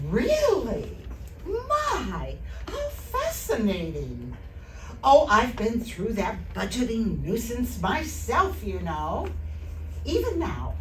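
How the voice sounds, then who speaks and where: A woman playing a gushing, affected rich society lady, condescending and theatrical, in an old-time radio comedy on stage. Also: medium pitch. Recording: distant, echoey, noisy stage recording.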